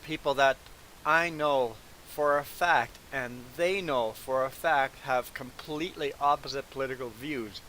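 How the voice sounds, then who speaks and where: A man with a mid-pitched voice chats casually as a live streamer. He sounds a little sniffly and rambles along in a conversational way. A faint hiss sits behind his voice. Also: measured pace, low-quality recording. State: sniffly nose from congestion. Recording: steady background hiss.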